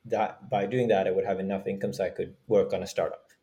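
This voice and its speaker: A man from Norway speaking casually as an interview guest, halting as he thinks aloud. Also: low-pitched, level tone, warm tone. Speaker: guest, startup founder.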